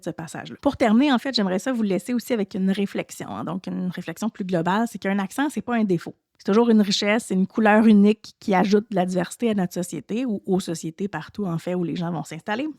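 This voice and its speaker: Gravelly-voiced Quebec French woman host. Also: mid-range voice, darkish tone, no room sound, clean studio sound. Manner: conversational, many restarts and "tu sais" fillers. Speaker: podcast host and French teacher. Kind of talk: casual solo podcast talk.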